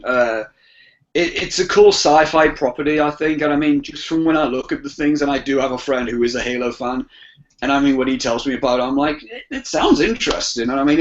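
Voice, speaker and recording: A man with a slightly high voice talks casually as a podcast guest. He rambles haltingly, doubling back on phrases. The audio is dull and lo-fi. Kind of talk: casual chat.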